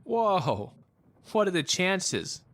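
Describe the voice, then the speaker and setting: A man's voice: high voice, very animated, drawn-out words, a bit bright, heavily acted. A man acting a chipper, needling lawyer in an audio drama, breezy, sarcastic and boastful. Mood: animated, flippant.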